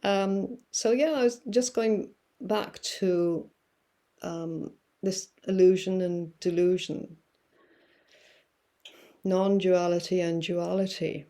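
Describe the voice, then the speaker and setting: A woman guest musing through spiritual ideas, with many fillers and pauses. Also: lowish voice, steady tone, mellow. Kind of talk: reflective spiritual talk.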